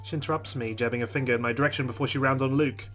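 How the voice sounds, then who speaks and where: Let's player, male, mid-pitched, reads game narration dramatically. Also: rapid-fire, soft background music. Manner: expressive reading with reactions. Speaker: let's player reading a visual novel. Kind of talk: dramatic first-person narration.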